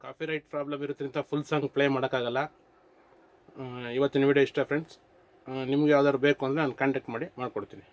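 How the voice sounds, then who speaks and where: A man's voice: medium pitch, steady tone, very fast. A man presenting a casual vlog as host and audio installer.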